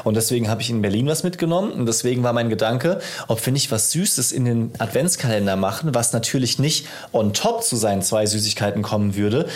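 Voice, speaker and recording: A man's voice: mid-range voice, lively intonation, a little fast, few pauses. A man in his thirties talking casually as a podcast host, explaining his thoughts in a reflective, conversational way. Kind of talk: casual chat between two dads.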